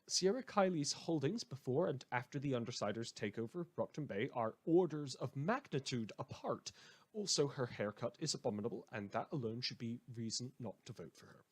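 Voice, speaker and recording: A man's voice: mid-range voice, expressive, fairly quick, breathy edge. A man playing a sardonic, melodramatic radio show host, delivering a scripted satirical monologue in an audio drama with theatrical emphasis. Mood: indignant, sardonic.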